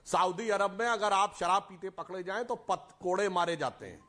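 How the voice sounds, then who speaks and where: A man's voice: high voice, lively intonation, normal speed, fairly bright tone. A man on a television debate panel making his case with rhetorical questions and long lists of points. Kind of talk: heated TV debate argument.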